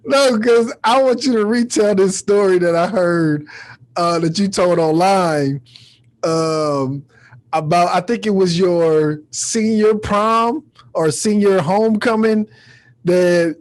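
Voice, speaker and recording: A man's voice: high-pitched, takes it slowly. A man hosting a podcast, chatting casually as he introduces and questions a guest. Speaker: podcast host. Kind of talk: casual podcast interview chat.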